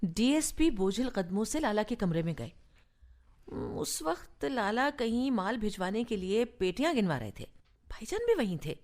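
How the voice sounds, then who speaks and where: An actress playing a woman who recounts her family's past in a radio drama, in measured storytelling style. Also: on the high side, sing-song, a little mellow, soft background music. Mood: reminiscing.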